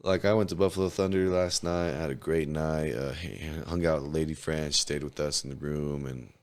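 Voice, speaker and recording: A hoarse man in his twenties from New Mexico, a podcast guest telling candid, profane stories in heavy slang. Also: extremely low pitch, fairly even intonation, fairly quick, darkish tone. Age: 20s. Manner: laid-back, profane, storytelling, heavy slang. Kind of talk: casual candid interview chat.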